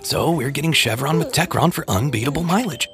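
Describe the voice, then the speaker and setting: A man's voice: fairly high pitch, expressive, a little fast, fairly bright tone, faint music behind. A man delivering a scripted commercial as the advertisement's voice.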